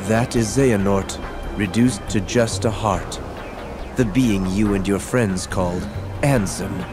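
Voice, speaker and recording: A man voicing a calm, deep, mysterious villain. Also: close to an everyday voice. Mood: cryptic. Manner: measured, cryptic, solemn. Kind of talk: video game cutscene acting. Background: game soundtrack music.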